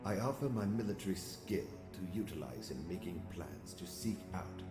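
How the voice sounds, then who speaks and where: Male actor as alien military strategist for sci-fi audio comic. Deep, formal, composed, calculating; music clearly underneath. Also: lively intonation. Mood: composed, confident. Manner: formal, measured, precise phrasing. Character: calm, exiled alien warrior strategist, courteous and calculating. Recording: music behind the voice.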